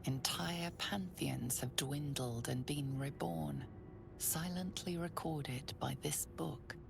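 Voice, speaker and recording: Deep, creaky storyteller. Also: female voice, takes it slowly, over music, exaggerated voice. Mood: grave, hushed. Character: solemn, mysterious female fantasy storyteller. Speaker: game narrator. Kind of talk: scripted second-person narration.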